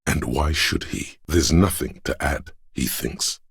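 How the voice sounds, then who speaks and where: A solemn narrator for a detective video game. The voice is extremely deep, full of gravel and very husky. It sits close and dry, delivering literary narration with measured gravity. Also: male voice, no echo, exaggerated voice. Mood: grave, watchful. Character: solemn inner voice of police camaraderie, narrating. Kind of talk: literary second-person scripted narration.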